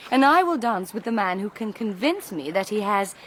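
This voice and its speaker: An actress playing a playful, genteel and lovelorn young gentlewoman who flusters easily, in a period radio drama. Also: medium pitch, sing-song, moderate pace, a little hiss or hum. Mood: teasing. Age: young adult.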